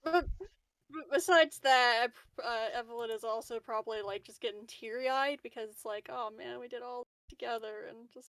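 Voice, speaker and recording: A woman's voice: fairly high pitch, moderate pace, a bit bright, no room sound, poor audio. A woman playing a tabletop game, describing her character in casual, hesitant speech. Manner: hesitant, informal.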